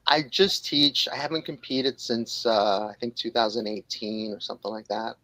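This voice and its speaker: A New York man in his late forties talks openly on a podcast, thinking aloud with plenty of pauses and fillers. His voice carries a gravelly texture and sits at an ordinary pitch. The sound is close and dry, with no room around it. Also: fairly even intonation, pushed and bright, no room sound, rough recording. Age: 40s. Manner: candid, reflective, hesitant with fillers. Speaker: guest, jiu-jitsu instructor and author. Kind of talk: podcast interview.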